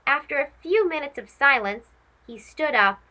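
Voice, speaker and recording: A woman narrating a children's story as a read-aloud host, expressive, dramatic and clearly phrased. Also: high-pitched, normal speed, low-quality recording.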